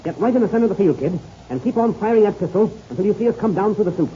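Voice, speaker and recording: An actor playing a brave, commanding airplane pilot in an old 1930s radio serial, with clipped, urgent delivery. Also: male voice, high-pitched, animated, racing, dark tone. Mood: urgent, firm. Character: brave, commanding male airplane pilot, loyal and level-headed. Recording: dull, noisy old radio recording.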